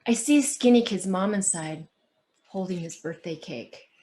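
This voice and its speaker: Slow-paced woman reading and talking. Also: on the low side, warm tone. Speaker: children's book author. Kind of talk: picture-book read-aloud and conversation.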